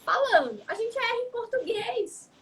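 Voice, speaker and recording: A woman's voice: very high-pitched, sing-song, moderate pace, noisy background. A young adult woman, a psychologist, talking in a casual interview, reflective and often adding tag questions. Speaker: psychologist guest.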